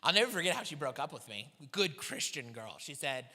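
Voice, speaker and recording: A young man doing a stand-up set in a church hall, with a high voice for his size and a self-deprecating, animated way of telling stories. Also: fairly quick. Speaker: stand-up comedian. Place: church hall with live audience. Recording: long hall reverb from a church stage.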